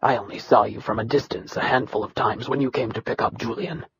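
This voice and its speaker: A narrator voicing a bitter young man from a royal family who speaks in a low, level, emotionless tone. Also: steady tone, fast talker, slightly rough. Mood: cold, bitter. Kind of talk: audiobook character reading.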